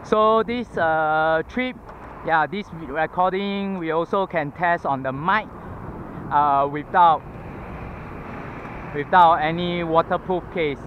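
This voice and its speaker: A man's voice: on the high side, slow, pauses often. Singaporean man chatting on a scooter ride. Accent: Singaporean English. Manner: chatty, self-narrating, thinking aloud with fillers. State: panting from exertion. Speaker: vlogger. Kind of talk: casual chat while riding. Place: outdoors on a city roadside pavement. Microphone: head-mounted action camera mic. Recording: lo-fi action camera audio with street traffic. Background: passing traffic.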